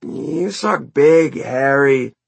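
Man voicing blunt teasing bandmate, pitched up. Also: lively intonation, moderate pace, vocal fry, slightly rough, heavily acted. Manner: blunt, slangy.

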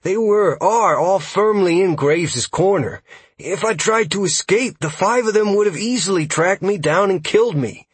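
A man performs a jittery male elf scout for an audiobook. The voice sits clearly higher, with a hesitant, apologetic delivery that is eager to explain lore. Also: normal speed, no echo. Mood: ashamed, afraid. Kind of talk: audiobook character dialogue.

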